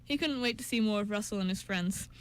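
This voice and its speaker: A woman's voice: mid-range voice, fast talker, rough recording. A woman reading scripted story narration as the narrator of a radio drama.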